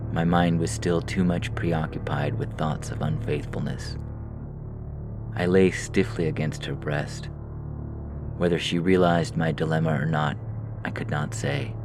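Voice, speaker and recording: Very deep, gravelly male story narrator. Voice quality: gravelly, very low voice. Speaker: podcast reader and short story author. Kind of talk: scripted narration of science fiction stories. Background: music under the voice.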